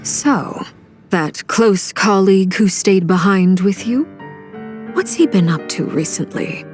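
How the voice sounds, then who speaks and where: A woman's voice: mid-range voice, expressive, slow pace, darkish tone. An actress playing a cool, guarded woman in a video game, speaking with dry scorn and wariness. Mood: dry, wary. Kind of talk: video game character dialogue. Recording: background music under dialogue.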